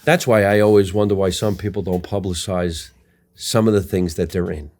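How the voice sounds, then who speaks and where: A man with a very low-pitched voice hosts a casual podcast. He is a stand-up comedian who talks about his work in a blunt, matter-of-fact manner. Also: steady tone, moderate pace, a little mellow.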